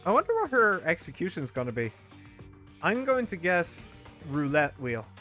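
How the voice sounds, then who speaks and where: This is a man hosting a let's play video. He chats and jokes his way through the game in a casual, relaxed way, with his voice sitting a little high. Faint game music plays in the background. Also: normal speed. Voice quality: chatty and joking, reading game text aloud. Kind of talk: casual gameplay commentary.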